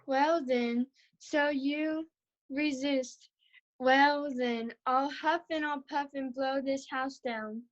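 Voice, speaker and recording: Slow, high-voiced hungry wolf, acted by a young reader. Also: fairly even intonation, muffled recording. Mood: taunting. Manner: slow, drawn-out, taunting delivery. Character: hungry, menacing wolf taunting little pigs. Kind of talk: amateur screenplay table read.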